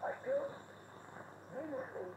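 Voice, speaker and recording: An older man preaching an emphatic, declamatory sermon, heard through a shortwave radio. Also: measured pace. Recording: noisy shortwave radio broadcast.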